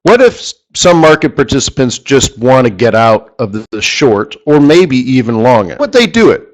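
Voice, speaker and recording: A man teaching a live trading lesson who keeps clearing his throat as his voice gives out. He rambles, corrects himself as he goes and repeats a point for emphasis. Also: medium pitch, very animated, moderate pace, poor audio. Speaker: forex trading instructor. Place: studio or office, live stream.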